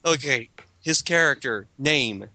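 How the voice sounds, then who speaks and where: A man's voice: medium pitch, expressive, unhurried, pushed and bright, dry recording. A man hosting a group dramatic reading, talking casually as he introduces things and comments with friends.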